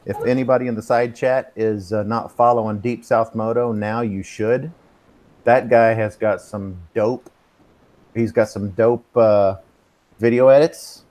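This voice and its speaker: A man's voice: on the low side, fairly even intonation, a little slow, a little mellow. A man in his fifties hosting a casual live stream chat, rambling, swearing and losing his place. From Texas. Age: 50s. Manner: rambling, swears, loses track. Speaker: host.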